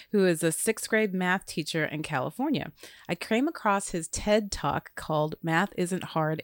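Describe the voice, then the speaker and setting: A woman hosting a parenting talk show, upbeat and quick to laugh. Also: sits low, dry recording, studio quality. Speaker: host.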